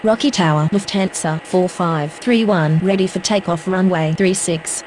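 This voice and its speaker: A woman acts as a procedural airline radio voice in a game. Her pitch is on the low side and her phrasing is formal and clipped. There is faint noise. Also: normal speed, darkish tone, close to an everyday voice, rough recording. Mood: businesslike. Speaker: pilot and air traffic controller voices.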